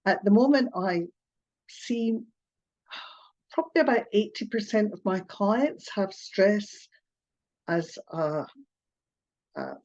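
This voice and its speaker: Older woman, scottish accent with australian tones, unhurried, hesitant and apologetic, muffled video call. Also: mid-range voice, a little mellow. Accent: Scottish, partly Australian. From Scotland. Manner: hesitant, apologetic, with filler sounds and little laughs. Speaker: therapist presenting a webinar. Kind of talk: online presentation.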